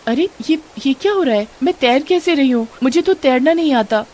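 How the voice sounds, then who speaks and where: A woman voicing a gentle, meek young wife who talks in a pleading, tearful way, in a cartoon story. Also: high voice, lively intonation, fairly quick, voice pushed up. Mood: amazed.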